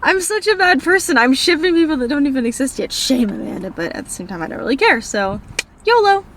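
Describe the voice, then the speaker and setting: A woman's voice: high voice, big pitch swings, fast, fairly bright tone, a little hiss or hum. Bubbly teen girl with Minnesota accent. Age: teens. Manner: bubbly, rambling, self-deprecating. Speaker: book vlogger. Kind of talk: casual Q&A vlog. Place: in a parked car.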